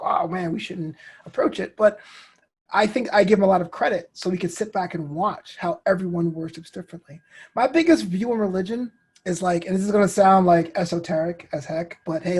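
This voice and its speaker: Guy guest on an interview, husky voice, chatty and animated, super informal. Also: sits high. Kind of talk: casual conversational interview.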